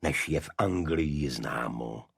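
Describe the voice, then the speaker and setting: A male narrator puts on a secretive senior official in a detective audiobook. He is formal and evasive, explaining carefully in a low, husky voice with a very dry sound. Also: lively intonation, fairly bright tone, dry recording, exaggerated voice. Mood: guarded explaining.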